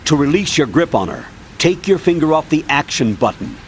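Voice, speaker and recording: A man playing a brisk, authoritative military colonel briefing in a video game. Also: fairly high pitch, very animated, a little hiss or hum. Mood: instructive.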